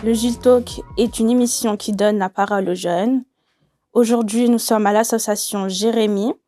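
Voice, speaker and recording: A woman's voice: medium pitch, steady tone, normal speed, dark tone, dry recording, faint music behind. A woman of twenty hosting a youth discussion panel, asking questions with some hesitant fillers. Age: 20s. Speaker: host.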